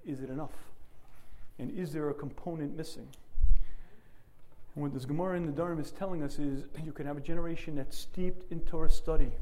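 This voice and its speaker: A middle-aged man giving an earnest Torah lecture, clearing his throat often. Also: fairly high pitch, mellow, slight background noise, poor audio. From United States. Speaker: rabbi.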